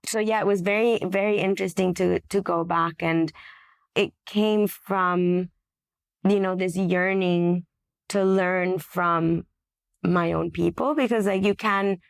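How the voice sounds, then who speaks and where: Creaky-voiced earnest woman from Venezuela. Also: on the low side, fairly even intonation, a little slow, no room sound. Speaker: podcast guest, shamanic practitioner. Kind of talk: reflective personal storytelling in conversation.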